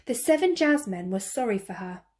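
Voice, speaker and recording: Woman teacher, storybook read-aloud, clear expressive delivery, mid-pitched voice. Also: moderate pace. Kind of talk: picture-book read-aloud.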